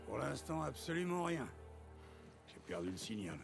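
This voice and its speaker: A man's voice: mid-range voice, very animated, normal speed, creaky, music in the background. An actor playing a laconic male adventurer's companion in video game cutscene dialogue. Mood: matter-of-fact.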